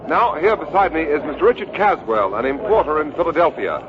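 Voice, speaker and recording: A man's voice: fairly high pitch, sing-song, fairly quick. A man playing an urgent, courteous field reporter in a dramatized vintage radio news broadcast. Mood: courteous, probing. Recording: dull old radio recording, crowd noise.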